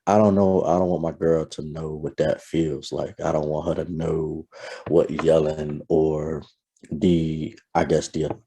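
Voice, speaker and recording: Guy with a super deep husky voice, flat and mellow, thoughtful casual chat. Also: a little slow. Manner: reflective, soft-spoken, searching for words. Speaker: guest. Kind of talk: casual conversation.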